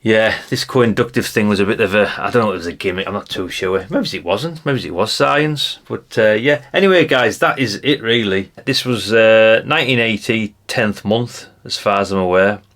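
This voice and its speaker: British man, lowish pitch, creaky gravelly voice, chatty, colloquial, casual rambling video commentary. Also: moderate pace, hardly any pauses, a bit bright. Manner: chatty and colloquial, often adding tag questions. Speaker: hobbyist video host. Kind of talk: casual rambling commentary while leafing through a brochure.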